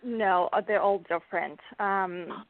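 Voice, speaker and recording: A woman's voice: medium pitch, measured pace, no echo. A woman from Bulgaria, a native Bulgarian speaker, chatting as a guest author in an interview, laughing often and filling gaps with ums. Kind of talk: casual interview chat. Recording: dry tinny phone-like line.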